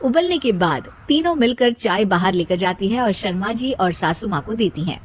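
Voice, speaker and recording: A woman's voice: medium pitch, lively intonation, normal speed, slightly rough. A woman narrating a scripted moral story for children as the storyteller.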